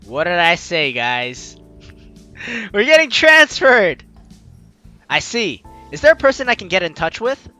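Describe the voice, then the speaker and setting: A man playing a game and reading its dialogue aloud, animated with joking asides. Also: high-pitched, unhurried, muffled recording. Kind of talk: let's play narration. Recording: game music behind.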